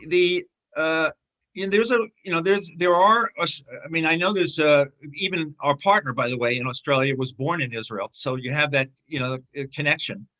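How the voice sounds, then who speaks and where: Man, interview guest, bright tone, halting delivery, many fillers, dull lo-fi audio. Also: a little high. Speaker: film distributor, interview guest. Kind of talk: casual interview conversation.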